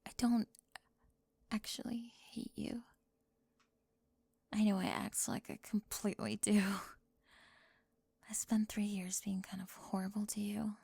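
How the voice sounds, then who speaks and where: A woman performing a whispered ASMR roleplay, teasing and sharp-tongued, moving between whispers and soft speech into a close microphone. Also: mid-range voice, steady tone, unhurried, vocal fry, pauses often, slightly rough, no echo.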